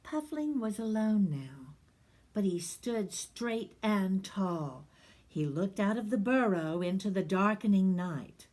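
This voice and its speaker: A woman reading a picture book aloud at a slow, unhurried pace. Her voice is gentle and soft, often dropping into whispers, with a middle pitch. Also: sing-song. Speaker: reading teacher.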